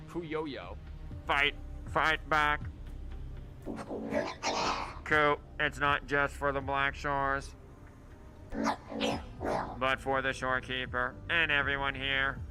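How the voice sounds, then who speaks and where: A man's voice: mid-range voice, steady tone, slow pace, long pauses. A man giving casual live gameplay commentary as a streamer, in a wry, joking manner. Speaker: game streamer. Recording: game music behind the voice. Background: video game music.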